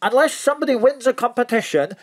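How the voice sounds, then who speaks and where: A woman's voice: a little high, expressive, fast, breathy edge. A woman playing an exasperated, slightly befuddled official in an audio drama. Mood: weary, irritable.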